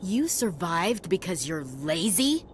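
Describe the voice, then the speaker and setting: An actress playing an earnest, easily indignant young soldier in a video game. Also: female voice, fairly low pitch, sing-song, takes it slowly, noisy background. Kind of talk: dramatic video game dialogue.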